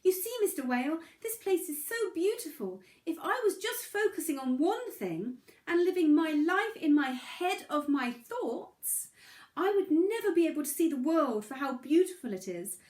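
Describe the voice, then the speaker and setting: Little storybook pebble as a woman plays it: very high, innocent, eager and earnest, in an echoey room. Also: very animated, normal speed, a little mellow. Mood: earnest, wonderstruck. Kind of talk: children's storybook character voice.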